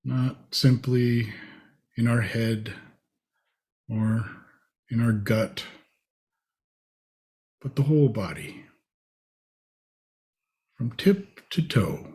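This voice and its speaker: A man leading a guided meditation, speaking in short, spacious phrases with long pauses. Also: medium pitch, mellow.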